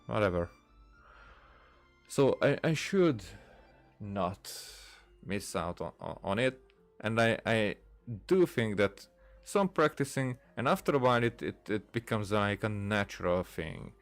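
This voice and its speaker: A man's voice: on the low side, lively intonation, unhurried, soft background music. A man hosting a casual game playthrough, commentating and reading dialogue aloud in a hesitant, stumbling way. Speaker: gaming video host.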